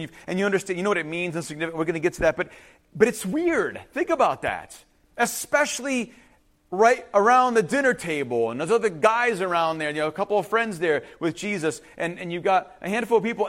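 A man with a high-pitched, highly animated voice delivers a sermon. He is a native Ukrainian speaker. He reads and explains scripture informally, breaking off for asides and turning to his listeners to check they follow. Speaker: preacher.

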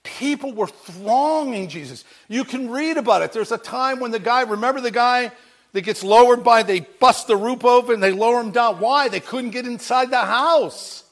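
Guy preaching, really high voice, big and animated, acts out the bits where people talk. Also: moderate pace. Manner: animated storytelling, acting out bits of dialogue. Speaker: pastor. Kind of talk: sermon.

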